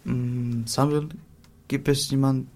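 A man asking a series of plain interview questions in a measured way. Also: mid-range voice, fairly even intonation, darkish tone, slight background noise. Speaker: interviewer, fellow learner.

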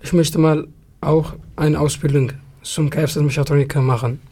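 A man whose first language is Tigrinya answers in careful, measured phrasing. His voice sits a little high and stays flat, with little pitch movement. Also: husky. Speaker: interview guest. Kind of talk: casual interview answers.

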